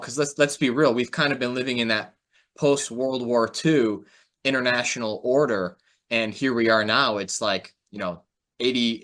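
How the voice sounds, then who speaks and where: A man's voice: mid-range voice, fairly bright tone. A man speaking casually as a podcast host and analyst, agreeable and conversational in manner. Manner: agreeable, frequent short affirmations.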